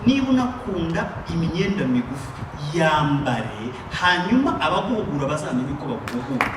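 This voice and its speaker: A man's voice: fairly high pitch, big pitch swings, a little fast. Rwandan guy preaching, emphatic call and response, far off mic with lots of noise. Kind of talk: sermon with interpreter. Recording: echoey room, picked up from afar, noisy.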